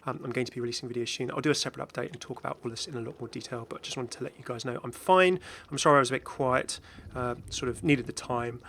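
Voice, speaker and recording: A man's voice: mid-range voice, fast talker, few pauses, a bit bright, a little hiss or hum. A man talking casually and unscripted as a gaming YouTuber, rambling chattily as he goes. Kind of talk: casual unscripted video commentary and channel update.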